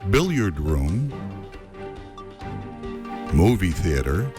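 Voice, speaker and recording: A man narrates a promotional tour video in a fairly low voice. His intonation moves up and down freely, and music plays underneath his scripted delivery. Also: very animated, drawn-out words. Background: background music.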